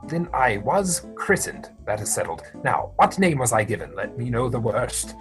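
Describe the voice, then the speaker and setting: A man playing an earnest, excitable young gentleman in a theatrical stage comedy reading. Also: medium pitch, moderate pace, soft background music, lightly acted. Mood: urgent. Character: earnest, excitable young English gentleman seeking his true parentage. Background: musical score.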